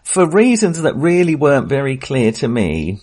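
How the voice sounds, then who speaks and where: Bloke chatting on a movie podcast, medium pitch, bit unsure, keeps hedging and rewording. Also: male voice, big pitch swings, normal speed, a little mellow. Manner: tentative, hedging and self-correcting. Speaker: film podcast co-host. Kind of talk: casual film discussion.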